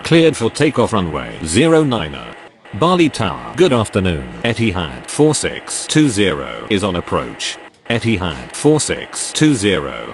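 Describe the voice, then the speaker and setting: A man playing a formal, terse air traffic radio operator in a game, speaking in clipped scripted phraseology. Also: exaggerated voice. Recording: very noisy game audio, vehicle rumble.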